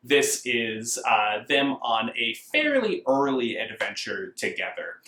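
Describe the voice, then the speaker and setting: An American man giving a casual book review in a conversational, measured way, often qualifying his opinions. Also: mid-range voice, sing-song, pushed and bright. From United States. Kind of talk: casual review talk to camera. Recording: roomy, echoing indoor sound.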